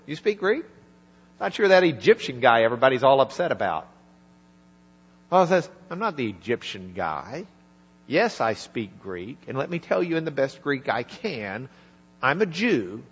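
Slow-talking preacher man in a reverberant hall. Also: mid-range voice, sing-song, breathy edge. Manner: conversational, acts out dialogue in quiet asides. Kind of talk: sermon retelling a scripture story. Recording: reverberant hall with mains hum.

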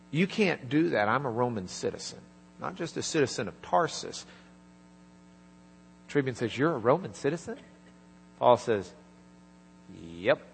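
Guy preaching slow in a big echoey hall, mains hum underneath, chatty, does little quiet voices for the people in the story. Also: mid-range voice, husky. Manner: conversational, acts out dialogue in quiet asides. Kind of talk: sermon retelling a scripture story.

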